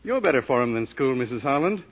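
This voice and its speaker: An actor playing a frank, reasonable and quietly firm male doctor in a 1940s radio drama. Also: mid-range voice, big pitch swings, quick pace. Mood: genial, reassuring. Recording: dull, band-limited 1940s radio recording.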